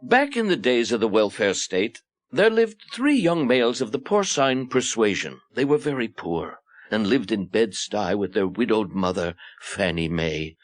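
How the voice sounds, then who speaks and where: A man's voice: on the low side, sing-song, measured pace, no room sound. A man with an American accent narrating a satirical storybook in a mock-earnest, theatrical way. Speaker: audiobook narrator.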